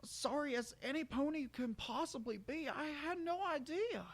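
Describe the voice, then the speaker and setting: A man's voice: very high-pitched, animated, normal speed, pitched above the performer's own voice. A man voicing a confused, kindly, innocent cartoon pony with folksy country talk and a stammering manner. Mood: shocked, apologetic.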